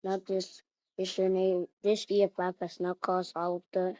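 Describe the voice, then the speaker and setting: A woman reading out an improvised mock emergency alert as the announcer, in a halting way with repeated phrases. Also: fairly low pitch, monotone, darkish tone, no echo. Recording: muffled lo-fi recording.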